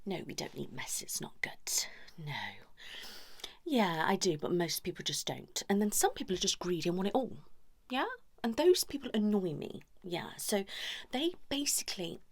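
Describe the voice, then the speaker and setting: A woman doing an ASMR therapist roleplay, shifting between a breathy whisper and a soft murmur, chatty and confiding. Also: medium pitch, lively intonation, normal speed. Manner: chatty, confiding, addressing listeners directly with frequent tag questions. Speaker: ASMR performer role-playing a couples therapist.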